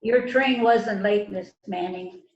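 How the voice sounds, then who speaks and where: A woman's voice: medium pitch, close to an everyday voice. A woman playing a stern police detective in an amateur mystery reading, stumbling and repeating words as she reads. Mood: accusing. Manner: halting read-aloud delivery with stumbles and repeats. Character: stern female police detective questioning suspects. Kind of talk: amateur readers theater mystery. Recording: echoey, muffled, noisy online call.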